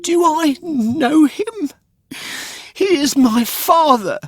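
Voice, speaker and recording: A man voicing a young wooden puppet boy from a storybook, naive and excitable, with pleading, exclaiming speech. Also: very high-pitched, very slow, dry recording, studio quality, voice pushed up, heavily acted. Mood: eager. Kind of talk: storybook character voice.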